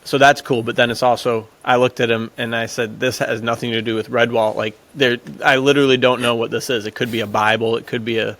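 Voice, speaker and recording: A man's voice: mid-range voice, little pitch movement, a little fast, hardly any pauses, a bit bright. A man chatting casually as a podcast panelist, talking in an enthusiastic, rambling way. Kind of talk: casual chat.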